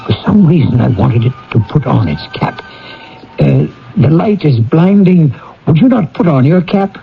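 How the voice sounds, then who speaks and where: A defensive, frightened old miser tells his story with theatrical, wavering swings of pitch. His creaky voice sits in a dull old radio recording, with music clearly underneath. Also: huge pitch swings, moderate pace, exaggerated voice. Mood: bewildered, uneasy. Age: older. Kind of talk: dramatic radio acting and storytelling.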